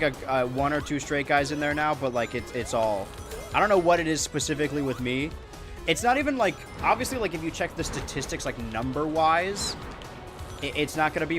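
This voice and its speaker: Monotone young American man rambling. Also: medium pitch. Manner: rambling, self-deprecating, many restarts. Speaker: streamer playing a video game. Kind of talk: casual gameplay chat.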